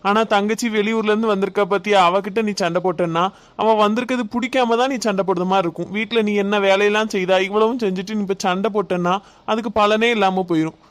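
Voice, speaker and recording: A performer playing a patient young husband who gently coaxes his wife. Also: high-pitched, steady tone, fast talker, smooth, close to an everyday voice. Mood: coaxing. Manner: gentle, coaxing, affectionate.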